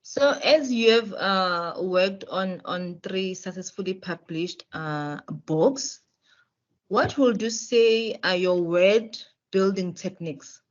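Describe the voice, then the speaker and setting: An interviewer asking questions slowly, with hesitations. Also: mid-range voice. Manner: slow, deliberate questioning with hesitations. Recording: dull lo-fi audio.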